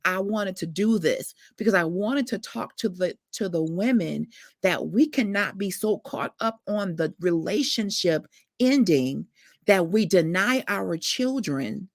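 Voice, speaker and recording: Creaky-voiced woman hosting a talk show. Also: medium pitch, lively intonation, a little slow, no echo, clean studio sound. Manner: earnest, emphatic, colloquial. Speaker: host. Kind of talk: panel discussion, talk show.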